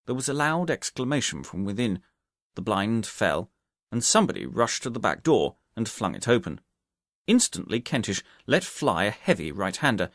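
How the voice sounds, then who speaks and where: Male narrator for mystery audiobook: lowish pitch, very varied intonation, expressive scripted read. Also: dry recording, studio quality.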